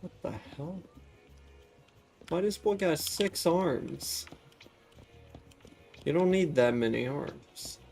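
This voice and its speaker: A man's voice: on the high side, lively intonation, takes it slowly, vocal fry, long pauses, a little mellow, soft background music. A man giving casual live commentary as a game streamer, musing aloud and chatting to viewers. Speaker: streamer playing a video game.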